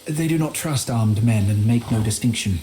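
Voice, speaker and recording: An actor playing a learned, timid chronicler in a video game, speaking in formal, measured, literary phrases. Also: male voice, medium pitch, mellow, slight background noise, lightly acted. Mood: cautious, deferential. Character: earnest, learned, timid medieval scholar and chronicler. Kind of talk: dramatic journal narration in a video game.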